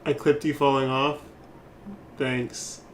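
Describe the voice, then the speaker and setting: A man's voice: medium pitch, flat delivery, takes it slowly, fairly bright tone. A man giving casual, informal live commentary as he streams a game, reacting aloud to it. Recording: faint background noise.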